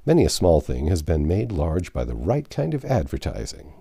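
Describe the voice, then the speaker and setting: A man narrating a classic novel aloud as an expressive storyteller. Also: a bass voice, normal speed, gravelly, husky. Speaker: audiobook narrator.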